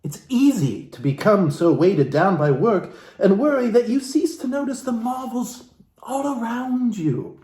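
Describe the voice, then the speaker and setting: Casting: man, mellow and fairly high-voiced, florid mock-genteel host reading a book aloud for laughs, dramatic pauses. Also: sing-song. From Alaska. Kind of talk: comedic theatrical book reading with asides to viewers. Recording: roomy, echoey room sound.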